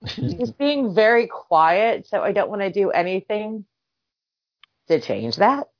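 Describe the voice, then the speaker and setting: Slow-talking woman in casual chat. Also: medium pitch, sing-song, dry recording. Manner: slow, drawn-out, profane banter. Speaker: group chat participant. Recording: dull lo-fi sound.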